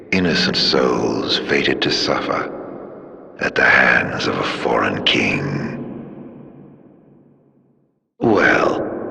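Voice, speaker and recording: Theatrical male video game villain, middle-aged, sly, mocking, forceful sharp edge, swooping lively intonation, drawling, sinister. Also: a bass voice, vocal fry, full character voice. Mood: sly, ominous. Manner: drawling, taunting, mock-sympathetic, singsong. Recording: long cavernous reverb.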